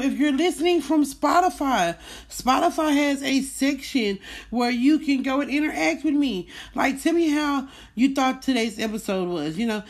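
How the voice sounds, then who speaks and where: A woman hosting a podcast, talking in a casual, informal way and repeating phrases for emphasis. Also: on the high side. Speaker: podcast host. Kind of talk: casual chatty monologue.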